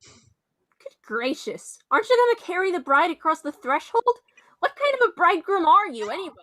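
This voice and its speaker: A woman's voice: extremely high pitch, big pitch swings, moderate pace, sharp tone, full character voice. A woman playing a nosy, bossy, know-it-all hotel attendant in an old-time radio comedy mystery, with a brash, exclamatory manner. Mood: bossy, scolding.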